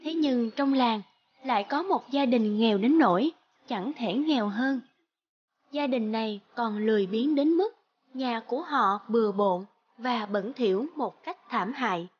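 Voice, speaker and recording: A woman narrating a fairy tale in a measured storybook manner. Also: fairly high pitch, muffled recording, slight background noise.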